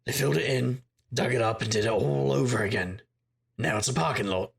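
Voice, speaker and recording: An actor playing a world-weary, rueful magical investigator, narrating a noir audio drama in a gravelly, husky voice with wry delivery. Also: male voice, mid-range voice, fairly quick. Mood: wry nostalgia.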